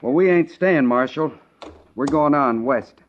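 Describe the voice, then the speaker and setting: A stubborn young homesteader, acted by a man with a rural American accent and a mid-pitched voice. He is brusque and defensive. The old radio drama recording is dull and muffled. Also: measured pace, a little hiss or hum, lightly acted. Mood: curt. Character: stubborn, curt young farmer and husband. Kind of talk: old-time radio western acting.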